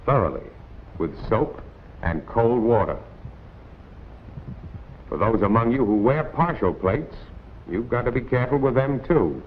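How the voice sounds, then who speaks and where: An American man narrating a 1940s instructional film in a plain-spoken, colloquial, admonishing way. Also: medium pitch. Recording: tinny, noisy 1940s film soundtrack.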